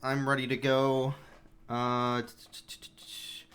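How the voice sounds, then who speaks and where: A man giving relaxed, chatty live commentary on his speedrun, explaining strategy as he plays. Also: mid-range voice, very slow, a little hiss or hum.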